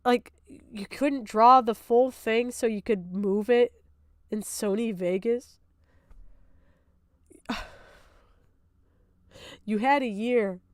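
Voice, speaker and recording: A woman's voice: on the high side, slow pace, long pauses, no room sound, studio quality. A woman streaming unscripted reaction commentary, exasperated, sarcastic and prone to swearing.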